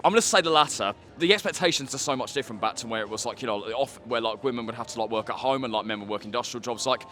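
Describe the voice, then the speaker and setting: A man in his twenties speaks very quickly in a casual interview. He is earnest and leans on "like" as he goes, with faint music behind his mid-pitched voice. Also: bright, forceful tone. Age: 20s. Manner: earnest, peppered with filler "like". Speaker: street interviewee. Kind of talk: casual opinion talk to camera.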